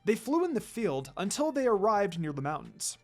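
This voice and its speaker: Animated storyteller with music behind. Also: medium pitch, moderate pace. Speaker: story reader. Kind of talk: scripted narration of fan fiction, read aloud.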